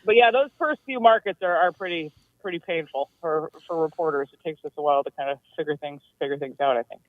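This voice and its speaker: A woman's voice: deep voice, fast, no room sound. A woman who works as a news reporter and grew up in Minnesota, a guest on a casual talk show. She is chatty, with lots of fillers and self-deprecating asides. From Minnesota, USA. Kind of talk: casual chat. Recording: tinny, dry phone line.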